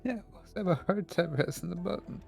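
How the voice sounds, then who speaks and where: A man's voice: sits high, fairly quick, creaky, soft background music. A man streaming a video game, giving casual live reactions in short remarks.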